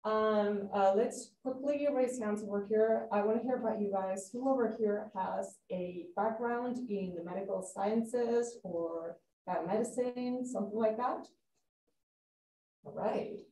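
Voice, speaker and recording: A woman's voice: mid-range voice, measured pace, warm tone. A woman in her thirties from Spain, whose first language is Spanish, giving a friendly public science lecture and asking the audience questions. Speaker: guest lecturer, postdoctoral researcher. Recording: roomy hall, distant mic, light noise.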